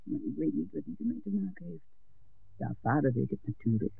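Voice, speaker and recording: An actor playing a frank young villager from a smuggling family, talking informally in a 1960s radio play. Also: male voice, a little high, expressive, fairly quick, gravelly. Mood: matter-of-fact. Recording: tinny old radio recording with noise.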